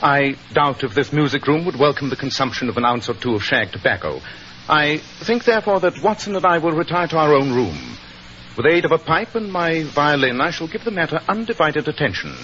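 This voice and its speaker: An actor playing a cool, self-assured British detective, measured, precise and drily witty, in 1940s radio style. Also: male voice, on the high side, animated, bright, forceful tone. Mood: musing wry. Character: cool, self-assured male English detective, incisive and wry. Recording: muffled, hissy 1940s radio recording.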